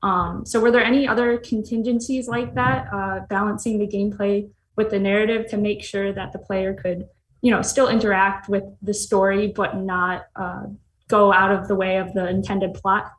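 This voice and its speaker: A woman's voice: medium pitch, little pitch movement, normal speed. A woman with a mid-range voice conducts a casual panel interview, polite and appreciative in her phrasing. The room echoes noticeably around her, with a faint layer of background noise.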